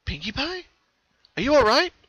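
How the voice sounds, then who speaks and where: A reader plays a sensible young girl unicorn from a cartoon. The voice is high and clear of any harshness, gentle and earnest, with a caring temper. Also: very animated, normal speed, dry recording, exaggerated voice. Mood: concerned.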